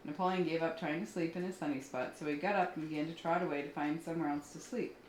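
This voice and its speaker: A woman with a fairly low voice tells children's stories aloud. She reads expressively and pauses to explain things to young listeners. The recording is roomy, with faint background noise. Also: steady tone, a little mellow. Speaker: children's story reader. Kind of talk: storytelling read aloud for children, with asides.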